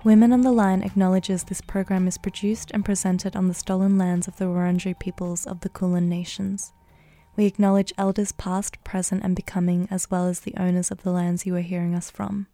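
A woman's voice: on the low side, level tone, brisk, warm tone, faint music behind. A woman hosting a radio current affairs program, presenting scripted introductions and putting questions to guests. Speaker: radio host and interviewer.

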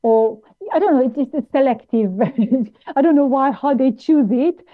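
A woman from Iran, raised in Austria, speaking as an interview guest in a halting way with many fillers and restarts. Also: sits high. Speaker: guest, plant-based nutritionist. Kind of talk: interview conversation. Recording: tinny phone-like line.

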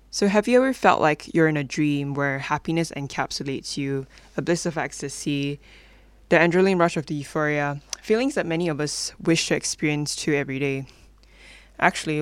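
A young woman hosts a community radio music show in a casual presenting style. She is from Malaysia, and her voice is clean and smooth. The sound is very dry, with hardly any room around it. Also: deep voice, dry recording. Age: young adult.

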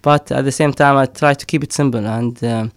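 Man, middle-aged, gravelly, dry close sound, hesitant with fillers. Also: mid-range voice, fairly even intonation, brisk, no echo. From Somaliland. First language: Somali. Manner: hesitant, many fillers, frequent laughs. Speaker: poet and storyteller. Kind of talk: casual interview talk.

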